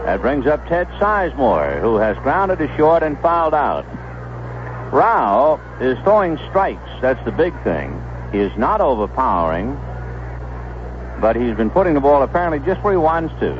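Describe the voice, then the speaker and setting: Guy doing old radio baseball play by play, very up and down voice, measured phrases, kinda dull muffled sound. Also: mid-range voice, very animated. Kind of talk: live radio sports commentary. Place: stadium broadcast booth. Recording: muffled 1970s AM radio broadcast, background noise.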